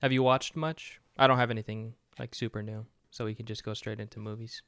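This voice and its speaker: A young man chats casually with his co-host, asking questions and keeping his replies short and relaxed. His voice is smooth and clear, recorded close and dry. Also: fairly low pitch, fairly quick, dry recording. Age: young adult. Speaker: podcast co-host. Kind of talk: casual chat.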